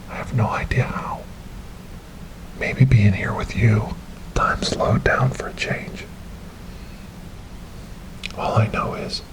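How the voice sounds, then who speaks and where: A man playing a gentle, devout rescuer in an ASMR storytelling roleplay, speaking softly and breathily in a soothing, reassuring way. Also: on the low side, rough recording. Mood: quiet, tender. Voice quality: soft, breathy, whispery. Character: gentle, devout male rescuer coaxing a lost ghost girl.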